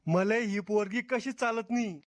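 A man's voice: sits high, measured pace, dry recording. A man acts out a cranky old cartoon grandad from a village, with a Vidarbha Marathi accent. He scolds bluntly and disapproves of everything, in a very dry, close recording. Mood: grumpy, scolding. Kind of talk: comic cartoon dialogue.

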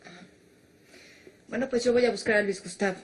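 A woman's voice: a little high, steady tone, a little fast, lightly acted. An actress playing a playful young woman in a telenovela, making introductions. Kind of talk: 1990s telenovela acting.

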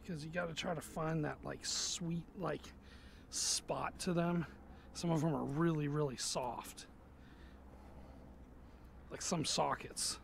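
Gravelly-voiced man fishing on a pier. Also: a little high, slow pace, long pauses, slight background noise. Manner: relaxed, chatty, muttering to himself. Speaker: fishing vlogger. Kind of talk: casual narration while fishing. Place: outdoors on a pier over an inlet. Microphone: head-mounted action camera.

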